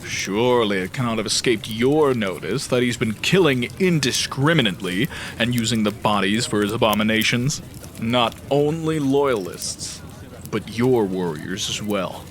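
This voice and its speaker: A man's voice: lowish voice, lively intonation, normal speed, slight background noise, natural voice. An actor playing a wise, solemn male celestial being in a fantasy audio drama, speaking in a grave, admonishing manner. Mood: grave reproach. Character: solemn, wise male celestial elder brother, calm and reproving.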